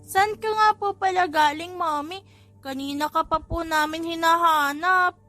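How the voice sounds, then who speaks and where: A woman voicing a nosy, excitable little boy with a childlike, exclamatory manner in an audiobook story. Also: very high voice, a little slow, soft background music, voice pushed up, full character voice. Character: bossy, curious little boys, excitable quadruplet brothers. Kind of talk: audiobook character voice in a family romance story.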